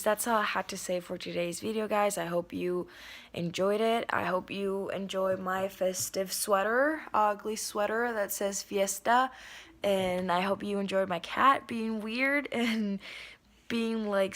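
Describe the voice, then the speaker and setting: A young adult nonbinary vlogger talks casually to the camera at home. The voice is a little hoarse and raspy, and the talk is earnest, full of ums and likes. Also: mid-range voice, fairly even intonation, measured pace, a bit bright. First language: Spanish. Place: indoors at home.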